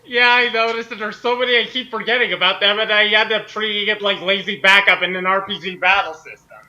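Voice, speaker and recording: A man's voice: sits high, fairly even intonation, fast talker, sharp tone, highs cut off. A man chatting casually as a guest in a group reading. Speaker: guest author of the story being read. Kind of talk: casual chat.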